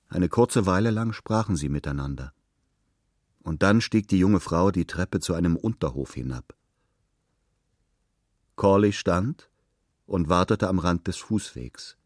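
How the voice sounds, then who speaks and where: A man's voice: deep voice, darkish tone, dry recording, clean studio sound. A man reading scripted literary narration as a storyteller.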